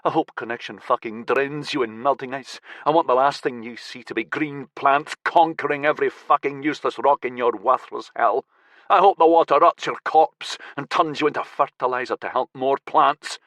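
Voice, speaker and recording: A man's voice: medium pitch, normal speed, dry recording, lightly acted. A man doing a frantic, swearing captive. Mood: panicked, furious. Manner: panicked shouting and swearing. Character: terrified, furious young man held captive.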